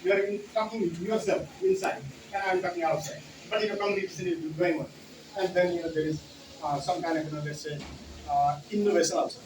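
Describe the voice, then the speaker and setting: A man's voice: high voice, steady tone, fast talker. A man with a Nepali accent giving a conference talk on English teaching, with many "you know" fillers and repeated key words. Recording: roomy hall from far off, noisy.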